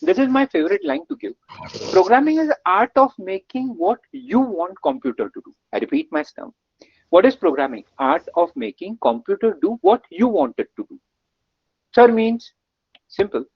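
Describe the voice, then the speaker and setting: Energetic indian guy teaching an online class, husky kinda high voice, muffled dry call audio. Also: moderate pace, no echo. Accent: Indian English. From Gujarat, India. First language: Gujarati. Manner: energetic, coaxing, lots of praise and repetition. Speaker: webinar instructor. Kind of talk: live online coding lesson.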